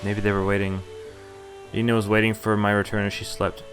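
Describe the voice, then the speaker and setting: Low-voiced man narrating a visual novel over music. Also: little pitch movement, a little fast. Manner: reads story text aloud with casual commentary. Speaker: streamer reading a visual novel aloud. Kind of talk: game playthrough narration, first-person reading with casual asides. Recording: game music behind the voice. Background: game music.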